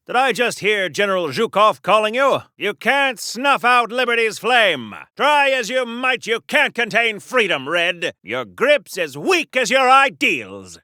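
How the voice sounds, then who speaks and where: An actor playing a pompous, gung-ho American superhero from a golden age comic in a video game, declaiming grandly like a 1940s radio serial hero. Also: male voice, high voice, sharp tone, dry recording, clean studio sound, full character voice. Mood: defiant, heroic. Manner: grandiose, theatrical, florid declamation.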